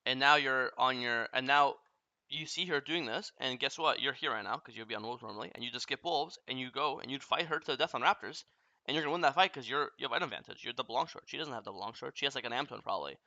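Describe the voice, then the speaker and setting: A young man coaching over a game replay, blunt and casually profane as he walks through each mistake. His voice is bright and forward, recorded dry with a slightly dull, muffled top end. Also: mid-range voice, no echo. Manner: blunt, profane, rapid explaining.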